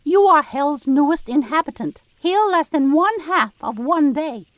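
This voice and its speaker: A woman's voice: high voice, animated, measured pace, dry recording. A woman playing a tiny, stiff male bureaucrat of Hell in an audio drama, formal, officious and unruffled. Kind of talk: audio drama character acting.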